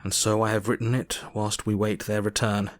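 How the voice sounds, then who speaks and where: An actor playing a composed Victorian doctor, narrating his diary in measured, formal storytelling. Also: on the low side, level tone, soft background music, natural voice. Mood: composed, recounting. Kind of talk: scripted narration.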